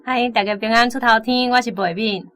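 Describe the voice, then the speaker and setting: A woman's voice: a little high, fairly even intonation, fairly quick, a bit bright. A young woman with a Taiwanese accent, a student contestant working out answers aloud in casual talk. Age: young adult.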